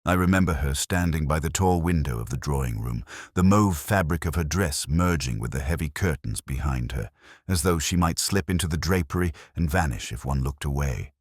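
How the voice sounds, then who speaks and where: A man playing a melancholy, observant police inspector, narrating a detective audio drama in a measured, literary manner. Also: rumbling low, lively intonation, breathy edge, no room sound, studio quality, exaggerated voice. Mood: brooding, reflective.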